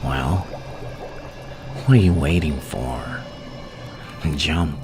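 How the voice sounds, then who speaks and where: A man playing a gleefully menacing, seductive madman villain in an ASMR roleplay, teasing and taunting in a gravelly, breathy voice that often sinks to a whisper. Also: extremely low pitch, very slow, over music, full character voice.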